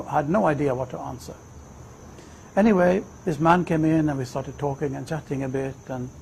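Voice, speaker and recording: A man in his fifties from Sweden, telling his personal story in a reflective, measured way. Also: fairly high pitch, gravelly, a little mellow, slight background noise, rough recording. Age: 50s. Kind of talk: personal testimony, unhurried storytelling.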